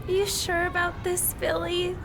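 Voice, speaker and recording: A shy teenage cheerleader girl, as a man puts her on in a fantasy audio drama, her voice pushed very high and thin. She hesitates and stammers through every line. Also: monotone, measured pace, gravelly, fairly bright tone, soft background music, heavily acted. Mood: nervous.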